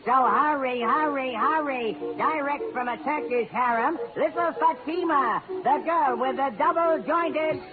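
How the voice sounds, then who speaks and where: An actor playing a carnival sideshow barker, delivering a singsong spiel in 1940s radio style. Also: male voice, very high voice, a little slow, few pauses. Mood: hawking. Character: fast-patter male carnival sideshow barker. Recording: lo-fi old radio, loud music behind.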